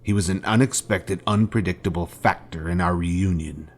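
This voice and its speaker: An actor playing a menacing fallen Jedi master in a video game, speaking in a solemn, pompous, formal way as a cold former mentor. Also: male voice, low voice, normal speed. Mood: cold, certain. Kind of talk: scripted video game villain dialogue.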